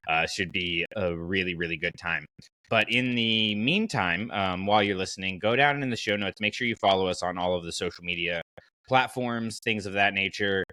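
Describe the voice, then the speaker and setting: Bright-voiced man, casual podcast host. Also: low-pitched, moderate pace, no echo.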